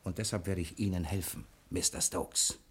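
An actor playing a brash, quick-witted bank cashier with a scheme, sardonic and theatrical, in 1960s German radio drama style. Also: male voice, low voice, steady tone, slight background noise. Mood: grudging, then sly. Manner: sardonic, theatrical, domineering, with emphatic dramatic pauses.

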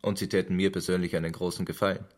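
An actor playing a wry, unemployed waiter, speaking politely and persuasively in colloquial phrases. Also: male voice, low voice, level tone, rapid-fire, darkish tone, natural voice. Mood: polite persuasion. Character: unemployed waiter, wry, scheming but kindly. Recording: guitar music behind the voice. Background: plucked guitar music.

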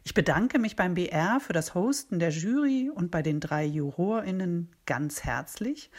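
Low-pitched, husky woman giving a greeting. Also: darkish tone. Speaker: award coordinator giving a greeting. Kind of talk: scripted greeting message.

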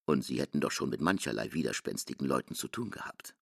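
A man's voice: very deep voice, very animated, quick pace, creaky, fairly bright tone, no echo, clean studio sound. A man delivers dramatic scripted literary narration in a radio drama.

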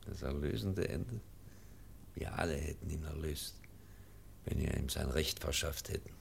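A man's voice: a bass voice, moderate pace, creaky, a little hiss or hum, heavily acted. An actor playing a solemn, grieving male professor and devoted teacher in a radio drama, emphatic and bitter. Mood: bitter, indignant.

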